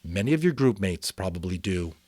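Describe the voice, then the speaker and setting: A man voicing a gentle, reassuring mother who explains patiently in a novel reading. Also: sits low, sing-song, a little fast, no room sound. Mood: patient, instructing.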